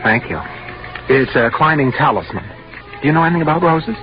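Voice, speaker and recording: Casting: meek, jittery bookkeeper for vintage radio thriller, hesitant and confiding, muffled old broadcast sound. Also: male voice, on the high side, animated, fairly quick. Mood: mild, awkward. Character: nervous, timid male office bookkeeper who grows roses. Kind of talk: 1940s radio drama acting with first-person narration. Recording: dull 1940s radio recording with music.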